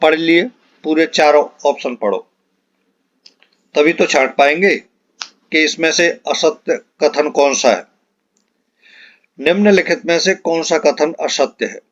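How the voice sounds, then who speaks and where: A male teacher with a moderately high voice reads out multiple-choice questions and their answers. He is instructional and repeats key phrases for emphasis. The sound is close and dry, with a somewhat muffled, lo-fi tone. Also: measured pace, pauses often, dry recording. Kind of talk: exam-prep lesson reading out multiple-choice questions and answers. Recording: dull, lo-fi close dry recording.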